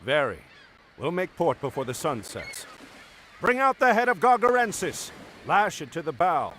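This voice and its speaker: A noble game hero, with a somewhat high male voice that moves freely in pitch. He speaks as a commander, on a slightly noisy capture. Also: sing-song, normal speed, fairly bright tone. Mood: commanding. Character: noble, commanding adult male warrior leader. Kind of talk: video game cutscene acting.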